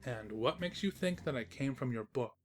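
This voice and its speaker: Casting: woman for teasing phantom narrator in a cartoon story, deep register, animated, drily smug. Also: moderate pace, faint music behind. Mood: dismissive.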